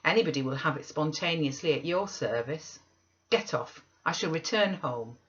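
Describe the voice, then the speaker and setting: A woman's voice: low-pitched, moderate pace, highs cut off, natural voice. A woman voicing a proud, impatient young lady who scolds sharply and scornfully in an audiobook reading. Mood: scornful, angry.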